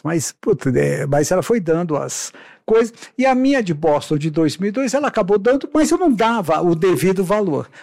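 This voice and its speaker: A middle-aged man with a Brazilian accent and a high, gravelly voice tells anecdotes casually. He is blunt and emphatic, repeating words for weight, and is recorded close and dry. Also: darkish tone, no echo. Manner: blunt, colloquial, emphatic with repetition and swearing. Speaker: podcast guest, veteran amateur marathon runner.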